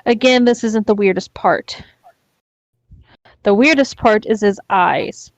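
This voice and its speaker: A woman's voice: medium pitch, takes it slowly, dry recording. A woman co-hosting a casual read-along, narrating a story and chatting briefly about it. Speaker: co-host reading stories aloud.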